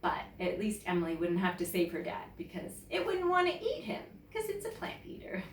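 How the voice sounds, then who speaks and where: A woman from Canada reading to children as a teacher, telling a story expressively and deliberately and putting questions to her listeners. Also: medium pitch, huge pitch swings. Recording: roomy, distant mic, faint noise.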